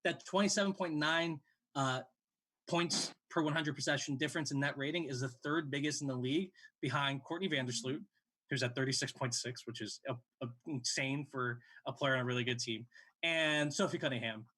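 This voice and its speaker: A man's voice: mid-range voice, fairly quick, sharp tone, rough recording. A man in his late twenties hosting a sports show, giving casual game analysis in a conversational way.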